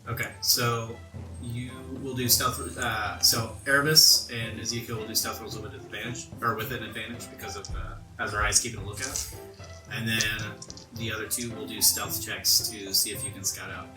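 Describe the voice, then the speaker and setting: A man's voice: lowish voice, level tone, moderate pace, far from the mic. A man narrating and ruling as game master in a tabletop game. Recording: roomy, off-mic, music underneath. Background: music.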